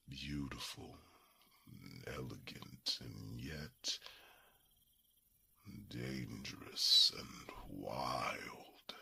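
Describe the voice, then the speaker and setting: An ancient, protective dragon in a fantasy ASMR roleplay. The voice is extremely deep and very raspy, with a sharp, forceful edge. It grumbles in formal, halting phrases, gruff yet secretly tender. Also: male voice, full character voice. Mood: wistful, reserved. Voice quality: very deep gravelly rasp, breathy.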